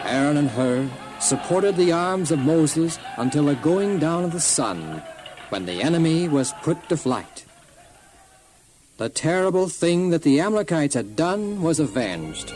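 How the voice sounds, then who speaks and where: A man narrating a scripted, dramatized children's story in a deliberate storyteller's manner. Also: a little high, very animated, rough recording. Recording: music under narration.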